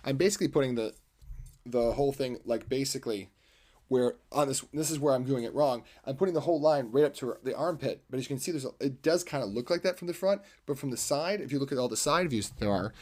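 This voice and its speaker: A man's voice: mid-range voice, lively intonation, fast, dark tone, dry recording. A man with a commanding, salesman-like voice chats casually to viewers while sketching on a livestream, rambling and correcting himself, close on the mic. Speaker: art livestream host. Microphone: microphone close to the face.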